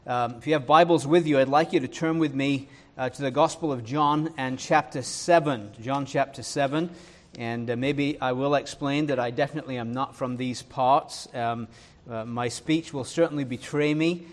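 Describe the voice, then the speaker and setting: Earnest preacher with a Leeds accent. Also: male voice, mid-range voice. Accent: Leeds English, touched by Irish and American. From northern England. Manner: earnest, fills pauses with "uh" and "um"; reads scripture slowly with emphasis. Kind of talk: sermon with scripture reading.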